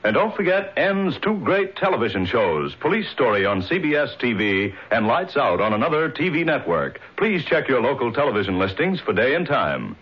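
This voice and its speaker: A man's voice: medium pitch, very animated, normal speed, few pauses, breathy edge. A man announcing in formal, emphatic 1950s radio style, reading credits and sponsor copy. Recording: muffled vintage radio recording, music behind.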